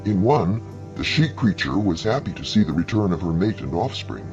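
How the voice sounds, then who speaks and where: A man's voice: low-pitched, sing-song, normal speed, dull audio, music in the background. A man narrating a scripted short story in a dramatic storytelling style.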